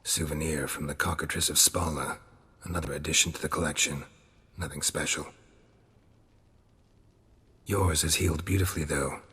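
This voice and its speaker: An actor playing a gruff, stoic, weathered monster hunter in a video game, laconic and deadpan, with a gravelly voice. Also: male voice, rumbling low, moderate pace, long pauses, in a large hall, heavily acted. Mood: dry, reassuring. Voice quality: gravelly, husky. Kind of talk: video game dialogue acting.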